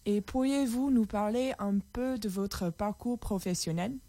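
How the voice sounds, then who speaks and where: A woman's voice: mid-range voice, unhurried, warm tone. A woman radio host announcing the station and politely asking a guest questions. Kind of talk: radio interview hosting.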